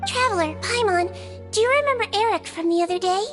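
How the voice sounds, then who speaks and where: A woman playing a small, gentle young head nurse who explains things politely and methodically, in anime game style. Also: very high voice, a little fast. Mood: calm, earnest. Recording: music behind the voice.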